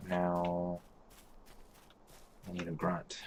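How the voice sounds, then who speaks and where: A man hosting a let's play, talking casually through a game with a hoarse, congested voice and many fillers. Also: deep voice, a little hiss or hum. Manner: relaxed, halting, thinking aloud with many fillers. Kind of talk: casual gameplay commentary.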